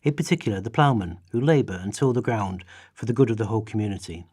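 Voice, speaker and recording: Man acting husky, earnest historical radical. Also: on the low side, animated, fast, a little mellow, no room sound, studio quality. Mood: visionary, earnest. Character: earnest male orators and writers of English radical history. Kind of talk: dramatised historical readings in radio play.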